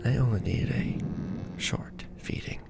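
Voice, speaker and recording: A man voicing a weary, tender aristocratic vampire lord in an ASMR romance roleplay, murmuring softly and formally, close to the microphone. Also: measured pace, natural voice. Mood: hesitant, soothing. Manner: soft, breathy murmuring, formal archaic phrasing. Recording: background music bed.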